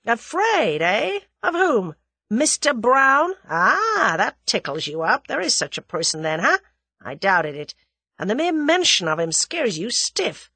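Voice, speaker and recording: A woman's voice: on the high side, big pitch swings, a little slow, pushed and bright, no echo, clean studio sound, voice pushed up, heavily acted. A woman voicing a brash, menacing young American millionaire with a blunt, slangy drawl in an audiobook. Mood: cheerful menace.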